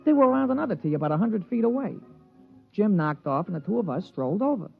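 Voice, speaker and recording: An actor playing an excitable, folksy golf caddy in a 1950s radio drama, talking in slangy, ungrammatical working-class style. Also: male voice, high voice, fast, gravelly, full character voice. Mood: folksy narration. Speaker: narrator and sidekick character. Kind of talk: 1950s radio drama acting, colloquial narration. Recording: dull old radio broadcast recording, music behind.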